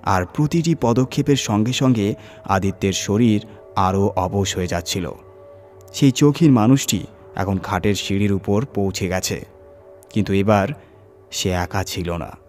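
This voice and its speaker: Low-voiced man narrating horror. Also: a little slow, long pauses, darkish tone, faint music behind. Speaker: horror story narrator, channel host. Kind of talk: dramatic scripted horror narration.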